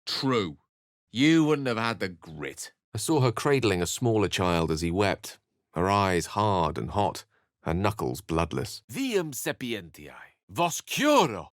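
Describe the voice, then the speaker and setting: A man's voice: lowish voice, sing-song, takes it slowly, dry recording, clean studio sound. A man playing a refined, erudite wizard in a fantasy video game, speaking in an articulate, theatrical storyteller's manner. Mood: musing, measured, then emphatic, declaiming. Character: refined, erudite male human wizard, eloquent and wry.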